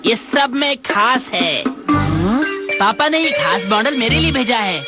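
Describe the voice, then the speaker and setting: Rich brat: raised boyish pitch, smug and bossy anime delivery, heavy music underneath. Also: female voice, animated, slow pace. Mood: boastful. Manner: showy, smug, accusing. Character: boastful, bossy, spoiled rich young boy. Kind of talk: Hindi dubbed anime cartoon acting. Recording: loud music behind the voice.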